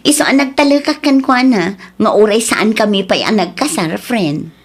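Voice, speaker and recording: Actress doing a hopeful young gf in a radio drama, affectionate, highish voice. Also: female voice, moderate pace, husky. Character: caring, hopeful young woman working abroad.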